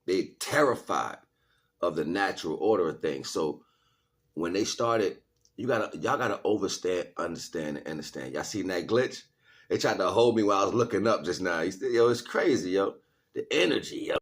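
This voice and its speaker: A man's voice: low voice, animated, moderate pace, slightly rough. A man speaking casually to livestream viewers like a street preacher, in heavy slang, repeating phrases for emphasis. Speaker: livestream host and spiritual teacher.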